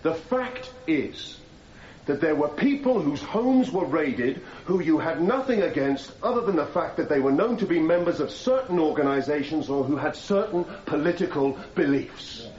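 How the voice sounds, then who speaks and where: A man's voice: fairly high pitch, expressive, moderate pace, a little mellow, natural voice. Man acting an insistent, echoey courtroom cross-examiner. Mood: pressing. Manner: formal, pointed, insistent. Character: pressing male courtroom cross-examiner, formal and accusatory. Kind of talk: radio courtroom drama acting. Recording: echoey room, slightly dull sound.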